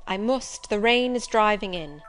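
A woman's voice: mid-range voice, animated, unhurried, soft background music, natural voice. A woman voicing a stern, suspicious elderly female servant in an audiobook, blunt, curt and scolding. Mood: stern, distrustful. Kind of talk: audiobook character voice.

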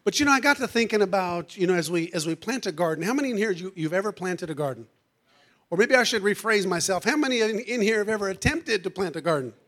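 A preacher man with a notably high voice delivers a fervent church sermon. His voice is going hoarse, and he peppers his lines with "amen" and "hallelujah" while calling out to the congregation. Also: animated, quick pace, fairly bright tone. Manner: calls out to the congregation. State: losing his voice. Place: church sanctuary.

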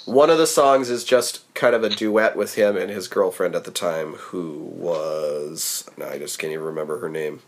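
A man hosting a casual podcast quiz, making wry, dry jokes and trailing off quietly at the ends of thoughts. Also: sits low, moderate pace, hardly any pauses. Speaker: podcast host running a quiz game. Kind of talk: casual chat.

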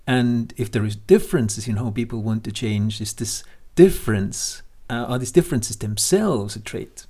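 A man hosting a podcast, speaking in a measured way and setting up questions for his guests. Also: mid-range voice, darkish tone. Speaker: podcast host.

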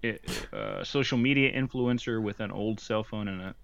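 A man's voice: on the low side, huge pitch swings, normal speed, creaky, fairly bright tone, clean tone, no room sound, lo-fi sound. A young man co-hosting a podcast, chatting casually and jokily over a board game with lots of fillers and restarts. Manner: jokey, rambling, many fillers and restarts. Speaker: podcast co-host.

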